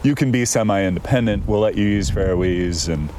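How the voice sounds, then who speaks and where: Low-voiced man telling stories, unhurried. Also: darkish tone, slight background noise. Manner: thoughtful, digressive storyteller with frequent fillers. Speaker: guest. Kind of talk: casual conversation. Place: outdoors in a town.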